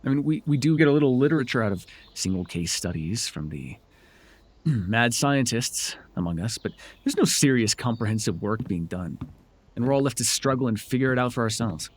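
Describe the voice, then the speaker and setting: A man's voice: mid-range voice, animated, normal speed, no room sound, natural voice. A man playing a smug, teasing telepath supervillain who mentors a hero, in a wry, theatrical yet conversational ASMR roleplay. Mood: earnest, exasperated.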